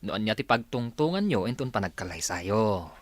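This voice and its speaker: A man's voice: fairly low pitch, big pitch swings, normal speed. A man playing a joking, teasing young best friend in an Ilocano radio comedy drama. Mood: teasing, jovial. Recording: faint music under the voice.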